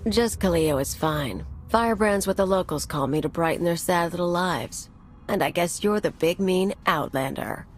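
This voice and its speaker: Video game role: sardonic female bomber and saboteur voiced by a woman, low register, irreverent, blunt delivery over music. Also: moderate pace, lightly acted. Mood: mocking. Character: brash, sarcastic anarchist female bomber and saboteur.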